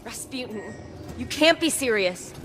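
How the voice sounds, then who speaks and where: A high-pitched, distraught, pleading woman. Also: lively intonation, measured pace, fairly bright tone, slight background noise. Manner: emotional, pleading. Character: distraught, stubbornly loyal woman pleading with a friend. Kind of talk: scripted game cutscene acting. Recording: music behind voice.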